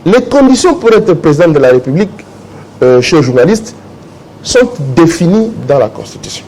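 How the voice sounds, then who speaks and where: A man's voice: on the high side, sing-song, moderate pace, darkish tone, rough recording. A man speaking as a jurist guest in a morning interview, explaining legal texts and reading articles aloud to the host. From Democratic Republic of the Congo.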